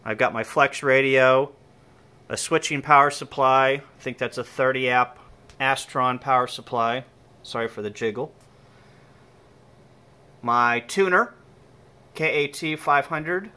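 A man's voice: medium pitch, bright, forceful tone. A man giving an informal, slightly hesitant hands-on equipment walkthrough, thinking aloud as he goes. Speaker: amateur radio hobbyist. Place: indoor room with equipment on folding tables. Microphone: handheld phone or camera he is holding.

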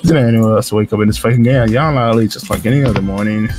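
A man reading game narration aloud in a casual group playthrough, jokey, excitable and prone to swearing. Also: fairly low pitch, rapid-fire. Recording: music under the voice. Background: game music.

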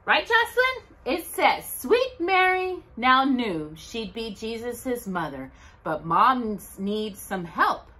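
A middle-aged woman hosting a children's storytime, reading aloud in an expressive, sing-song way to young listeners. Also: a little high, takes it slowly. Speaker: storytime host. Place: indoors at home by a brick fireplace.

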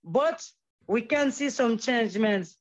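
Man, halting English, dull audio. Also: very high-pitched, lively intonation. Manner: halting, searching for English words, Jamaican turns of phrase. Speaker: guest musician. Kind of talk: casual conversational interview.

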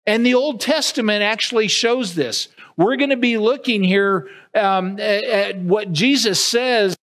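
Middle-aged guy preaching at a church podium, highish voice, unhurried, keeps restarting his lines. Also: fairly bright tone. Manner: earnest preaching with wide arm gestures, frequent restarts. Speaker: pastor. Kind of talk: sermon. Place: church sanctuary with empty pews. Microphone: podium mic at a distance, far camera.

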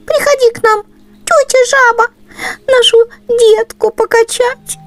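Woman as a high-pitched pleading mother mouse. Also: steady tone, measured pace, no echo, soft background music.

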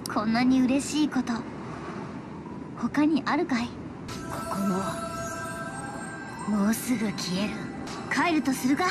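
A woman's voice: fairly high pitch, close to an everyday voice. A woman voicing a small, noble male cat mascot in a game, speaking in a solemn, heartfelt way. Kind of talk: Japanese game dramatic farewell speech.

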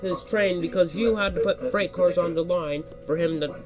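Man playing a stern, high-voiced railway controller. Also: normal speed, over loud music, lightly acted. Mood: outraged. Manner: stern, scolding. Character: annoyed, stern male railway controller giving a scolding.

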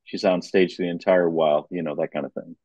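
A man's voice: deep voice, steady tone, fairly quick, darkish tone, dry recording, lo-fi sound. A man chatting casually as a guest commentator on an arts podcast. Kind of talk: casual chat.

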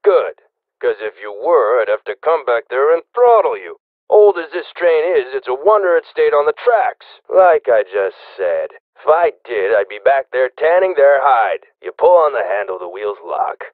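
Casting: brusque, folksy railroad engineer for mystery game, mid-pitched, crackly narrow radio intercom sound, impatient and curt. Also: male voice, very animated, normal speed, no echo. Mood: gruff, impatient. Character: gruff, curt, stubborn male train engineer. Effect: radio intercom filter. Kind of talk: video game character acting.

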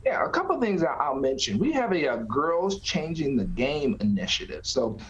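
A man's voice: mid-range voice, sing-song, moderate pace, fairly bright tone. A middle-aged man, a nonprofit director, answering interview questions conversationally over a video call from an office. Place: small office, indoors. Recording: slightly dull video-call audio.

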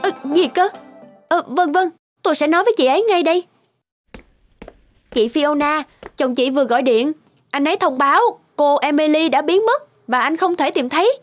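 A woman playing a dutiful, flustered assistant in a cartoon, brisk and courteous. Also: high-pitched, faint music behind, natural voice. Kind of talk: Vietnamese cartoon voice acting.

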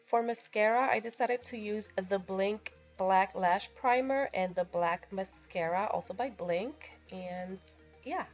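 A woman's voice: medium pitch, unhurried, lo-fi sound, soft background music. A young woman with a nasal voice from a cold, talking casually through a makeup tutorial voice-over. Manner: casual, chatty, a little crude. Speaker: beauty vlogger.

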